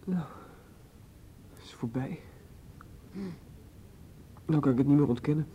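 A man's voice: fairly high pitch, unhurried, warm tone, slight background noise, close to an everyday voice. An actor in a radio play voicing a remorseful young male student who stammers through a tearful confession. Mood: subdued. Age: young adult. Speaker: student questioned by police.

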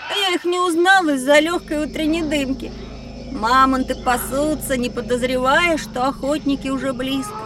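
Actress as excitable little boy, high voice, eager and wondering, children's educational drama, slightly distant, noisy ambience. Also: normal speed, lightly acted. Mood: excited, worried. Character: curious, excitable young boy on a learning adventure.

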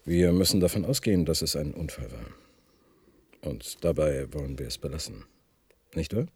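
A man acting an unsettled, condescending professor in a German radio drama, speaking haltingly and deliberately with a husky voice. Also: rumbling low, a little mellow, full character voice. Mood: measured, probing. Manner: halting, deliberate, stammers when agitated. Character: unsettled, condescending professor of physics, slipping into confusion. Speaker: radio play actor.

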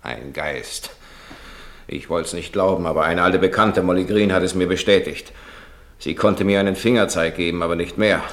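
An actor playing a sober gentleman who relates events in a German radio play. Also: low-pitched, moderate pace. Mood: grave.

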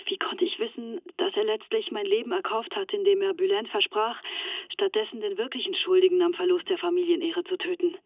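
A woman's voice: mid-range voice, no room sound. An actress in a radio play playing a shaken young woman in hiding, confiding over a telephone. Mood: distressed. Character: shaken Turkish-Swiss woman in hiding, recounting betrayal. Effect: telephone filter. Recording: thin, dry phone-line sound.

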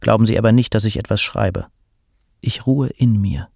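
A man playing a burnt-out poet who reads out his reflective letters in a measured, contemplative way, as scripted diary-like narration. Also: on the low side, gravelly, darkish tone, breathy edge, dry recording, clean studio sound. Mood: serene. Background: music behind the voice.

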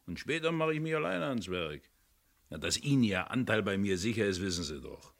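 Roguish male treasure-hunting sidekick, radio crime drama; low voice with animated swings, breezy, cajoling patter. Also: brisk, creaky. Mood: reassuring. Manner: chatty, casual, cajoling.

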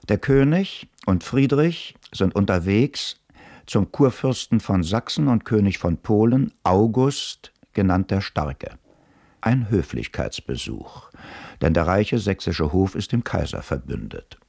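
A man as narrator, setting scenes in a measured, scripted radio drama style. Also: fairly low pitch, lively intonation, creaky, a little mellow, no room sound, studio quality.

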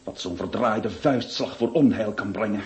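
An actor playing a panicky, flustered gentleman who stammers and exclaims in a Dutch-language radio play. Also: lowish voice, moderate pace, natural voice. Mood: rueful, flustered. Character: panicky near-sighted gentleman in trouble over a duel. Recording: dull lo-fi radio recording.

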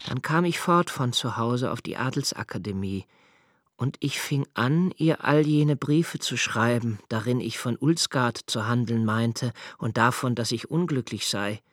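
An actor playing a young nobleman who narrates a literary radio play in a slow, hushed, reflective way. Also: mid-range voice, no room sound, clean studio sound, lightly acted. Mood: musing. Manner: slow, hushed and reflective, dropping to near whispers. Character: young nobleman Malte, brooding poetic narrator.

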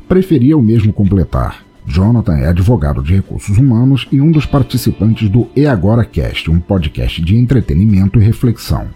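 Male narrator, Brazilian accent, very low dark voice, wry, theatrical, scripted podcast delivery, faint music behind. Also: husky.

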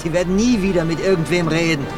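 A male actor as a reluctant captive on edge. He blurts his words rapidly in a highish voice, over prominent music in a radio drama. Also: lightly acted. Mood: edgy. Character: nervous, reluctant male hostage forced to obey. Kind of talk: German radio drama acting.

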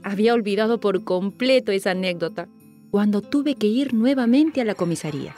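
Actress as a mellow-voiced mother telling her story. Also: medium pitch, lively intonation, brisk, soft background music, close to an everyday voice. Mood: reflective narrating. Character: proud, witty mother telling her own anecdote. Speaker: narrator and lead in a radio play. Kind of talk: radio drama storytelling.

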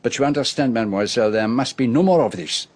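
A man acting in a radio drama as a courteous, grave foreign detective with a French accent, speaking in a formal, deliberate and theatrical way. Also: medium pitch, a little mellow, lightly acted. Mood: grave, didactic.

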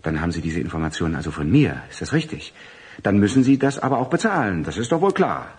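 A husky, fawning, self-important schemer. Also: male voice, deep voice, sing-song, quick pace, heavily acted. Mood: wheedling. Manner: wheedling, pompous, hesitant flustered bluster. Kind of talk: theatrical radio drama acting.

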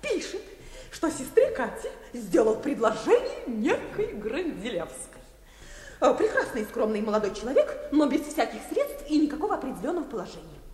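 Very high-pitched, gushing young lady. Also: wildly swooping pitch, moderate pace, darkish tone. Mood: excited chatter. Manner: gushing, theatrical, laughing chatter. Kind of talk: classic comic radio play acting. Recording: echoey hall reverb.

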